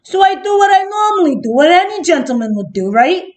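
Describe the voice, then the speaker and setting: A woman's voice: extremely high pitch, sing-song, fairly quick, voice pushed up. A woman voicing a self-justifying, slyly innocent male wolf who tells his side of a children's story with chatty, dramatic indignation. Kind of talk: first-person children's storybook narration.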